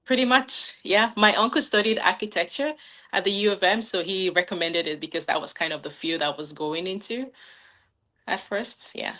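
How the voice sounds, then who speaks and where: A woman in her thirties, originally from Nigeria, answering interview questions in a relaxed, friendly way with frequent "um"s and little laughs. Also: mid-range voice, normal speed. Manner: friendly, laughs often, many "um"s and "like"s. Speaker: guest, new business owner.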